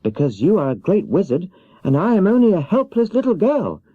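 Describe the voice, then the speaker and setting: A man's voice: high voice, huge pitch swings, fairly quick, no echo, voice pushed up, heavily acted. A man voicing a small, meek, earnest little girl in a children's storybook reading. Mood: pleading. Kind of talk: children's audiobook character voice. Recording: dull 1970s cassette audiobook.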